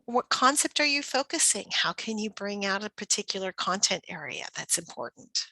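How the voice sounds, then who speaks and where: Lively, bright-voiced woman teaching educators. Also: fairly high pitch, no echo, low-quality recording. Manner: poses questions as if to young children. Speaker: teacher trainer presenting a workshop. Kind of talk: instructional presentation for educators.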